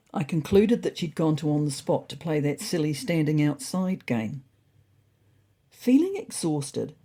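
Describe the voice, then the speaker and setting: A woman plays a pompous, earnest orange tomcat telling his own diary as a storybook tale. The voice is husky and mellow, with big swoops in pitch and a naive confidence. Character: self-important, earnest male orange cat keeping a diary. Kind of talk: scripted first-person diary storytelling.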